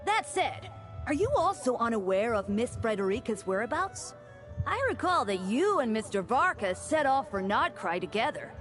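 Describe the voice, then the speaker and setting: A woman voices a devout young man, a game deacon with a playful streak. The voice is fairly high and animated, with formal, courteous phrasing over faint music. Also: normal speed. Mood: polite, reassuring. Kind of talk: scripted video game dialogue.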